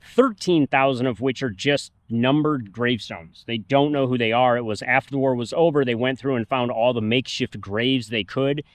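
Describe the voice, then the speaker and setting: A man of middle pitch talks a travel vlog outdoors into a camera mic, and the sound is dry and close. He explains history plainly and at length, in an easy conversational way. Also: moderate pace, few pauses, dry recording. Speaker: travel vlogger. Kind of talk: casual travel chat. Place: outdoors at a park.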